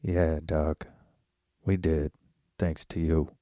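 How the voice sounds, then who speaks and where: A man playing a gruff, steady, protective rancher father with terse commands in an amateur western audio drama. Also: very deep voice, takes it slowly. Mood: gentle, somber.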